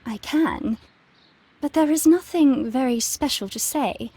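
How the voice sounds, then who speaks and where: A woman's voice: sits high, unhurried, mellow, slightly rough. A woman playing a composed young researcher in a video game, answering politely and precisely. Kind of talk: scripted game dialogue, giving testimony.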